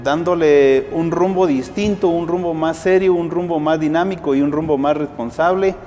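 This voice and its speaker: A man's voice: on the high side, moderate pace, warm tone. Interview guest, Guatemalan man, hesitant with many fillers, long reverb, faint music. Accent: Guatemalan Spanish. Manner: hesitant, frequent "eh" fillers and restarts. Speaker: guest, civil society activist. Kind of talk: interview answers.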